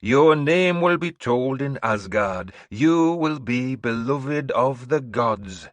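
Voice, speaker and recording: A man voicing a sinister old woman who rules from a throne, slow, hissing and imperious. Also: mid-range voice, lively intonation, no room sound, pitched above the performer's own voice. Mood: commanding.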